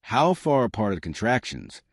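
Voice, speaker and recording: Deep-voiced man telling a dramatic story. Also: wildly swooping pitch, moderate pace, vocal fry, dry recording, clean studio sound. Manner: suspenseful, addressing listeners directly. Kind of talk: dramatic scripted romance thriller narration.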